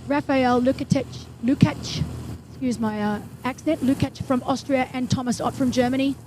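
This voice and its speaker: A woman giving live play-by-play commentary on a triathlon in a brisk, enthusiastic way, with friendly asides. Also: high voice, fairly even intonation, background noise. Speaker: race commentator.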